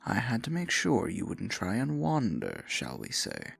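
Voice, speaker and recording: A man playing a husky, possessive dark sorcerer. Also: huge pitch swings, dry recording, soft background music, exaggerated voice. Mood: smug, teasing. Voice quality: low, husky, gravelly. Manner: slow, theatrical, breathy murmuring with mock-sweet endearments. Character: possessive male dark sorcerer, theatrical, silkily menacing captor. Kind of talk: ASMR fantasy audio roleplay.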